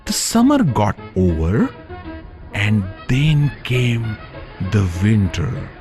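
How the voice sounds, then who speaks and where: A male storyteller reads a scripted children's tale at a very slow pace. His intonation is lively, broken into short phrases with dramatic pauses. Music plays clearly behind him. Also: on the low side.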